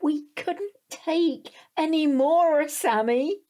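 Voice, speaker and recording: Woman playing a high-voiced, apologetic British mum. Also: takes it slowly, warm tone. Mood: earnest. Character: hapless, apologetic middle-aged mother, gently disapproving. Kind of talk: comic audio drama acting.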